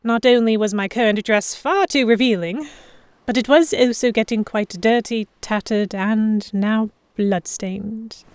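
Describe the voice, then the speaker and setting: A woman playing a stubborn, sharp-tongued captive of pirates, narrating an audio drama in the first person. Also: fairly high pitch, measured pace, clear, resonant tone, close to an everyday voice. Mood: puzzled, wary.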